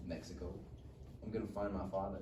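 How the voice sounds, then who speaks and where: Cocky mellow-voiced teenage boy, acted by a man. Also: low voice, steady tone, brisk, lightly acted. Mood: pleading, earnest. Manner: slangy, taunting. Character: cocky, foul-mouthed broke teenage boy, needling his buddy. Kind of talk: live table read of comedy screenplay. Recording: roomy, distant mic, faint noise.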